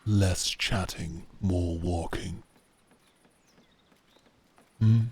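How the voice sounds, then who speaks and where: A man playing a stern, commanding medieval knight with a gravelly, husky voice and formal, curt speech in a roleplay audio drama. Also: low-pitched, steady tone, drawn-out words, darkish tone, exaggerated voice. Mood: stern, guarded.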